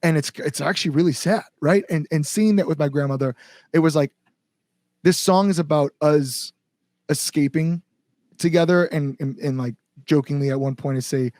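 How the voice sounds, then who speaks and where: Guy being interviewed, kinda high voice, rambles sincerely, repeats himself, no room echo at all. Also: a little mellow, studio quality. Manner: rambling, earnest, repeats words for emphasis. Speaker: band frontman and songwriter, podcast guest. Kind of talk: casual podcast interview chat.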